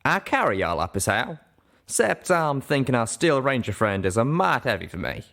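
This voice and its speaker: A man's voice: mid-range voice, big pitch swings, moderate pace, vocal fry, a bit bright, pitched above the performer's own voice. A man voicing a folksy, easygoing male pegasus pony with an in an audio story. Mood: wry. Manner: drawling, folksy.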